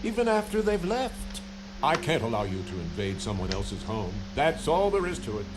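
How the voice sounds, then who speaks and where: An actor playing a pompous, evasive middle-aged city mayor in a video game, orating like a politician. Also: male voice, mid-range voice, sing-song, normal speed, over music, natural voice, rough recording. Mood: firm, formal.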